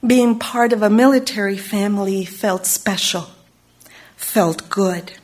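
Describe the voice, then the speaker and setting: Slow, solemn woman preaching. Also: mid-range voice, darkish tone. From Chile. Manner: measured, solemn, lingering on single words. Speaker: Unitarian Universalist speaker. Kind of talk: slow reflective sermon.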